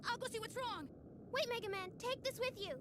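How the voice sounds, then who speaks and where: Very high-pitched, sweet anime girl. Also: brisk, fairly bright tone. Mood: concerned. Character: apologetic, sweet young anime girl, game character. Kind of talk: video game cutscene voice acting.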